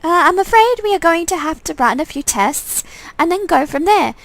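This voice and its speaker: A woman's voice: very high voice, animated, normal speed, no room sound, voice pushed up. A woman voicing a hesitant, businesslike doctor in a visual novel, speaking in a clinical, stumbling manner.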